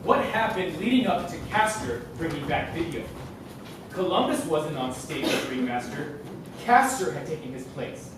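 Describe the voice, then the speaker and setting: A man's voice: a little high, big pitch swings, moderate pace, distant mic, heavy background noise. A man playing a suspicious, urgent investigator in a theatrical stage play, declaiming his lines. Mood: frustrated disbelief. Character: suspicious, urgent male investigator tailing a circus showman. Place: indoor theater stage, distant mic. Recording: echoey theater from afar, music behind.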